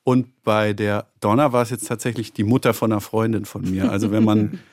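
A man's voice: lowish voice, little pitch movement, a little fast. A man, an actor and voice-over speaker, chatting casually in an interview and often searching for his words.

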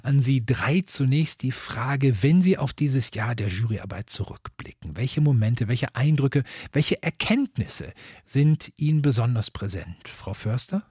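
A man's voice: medium pitch, lively intonation, a little slow, darkish tone, husky, dry recording, studio quality. A man moderating an awards programme in a scripted radio presenting style. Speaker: host and moderator of an award podcast.

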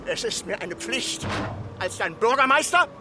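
A man's voice: extremely high pitch, lively intonation, a little slow, music in the background. A man playing an urgent, imploring village mayor in a radio drama, speaking in insistent, incantatory repetitions. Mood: urgent, warning. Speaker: actor. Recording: clear background noise, vehicle sounds.

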